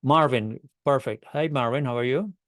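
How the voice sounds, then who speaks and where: A man's voice: medium pitch, moderate pace, no echo. A man hosting an online club meeting, greeting guests in a friendly, upbeat way over a video call.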